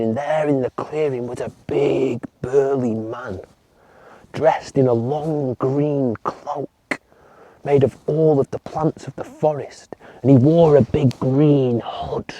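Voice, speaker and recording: A male children's storyteller who tells the tale unhurried and gentle, sometimes sinking to a whisper. His voice is mellow and dark, at a middle pitch. The sound is close and dry. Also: dry recording. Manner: slow, gentle, hushed.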